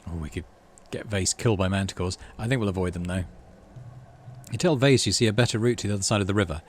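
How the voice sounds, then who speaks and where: A man's voice: deep voice, fast talker, slight background noise. A man hosting a playthrough, reading game text aloud with animation and muttering offhand commentary to himself. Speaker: gaming channel host.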